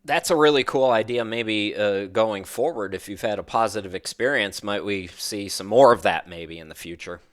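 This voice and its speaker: A man's voice: on the low side, moderate pace, hardly any pauses, a bit bright. A man hosting a casual interview, asking questions and chatting with his guest. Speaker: interview host.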